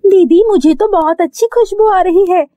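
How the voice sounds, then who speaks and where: A high, childlike cartoon voice of a poor little girl, acted by a woman. She is innocent, eager and pleading, recorded dry. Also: normal speed, dark tone, dry recording. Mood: longing.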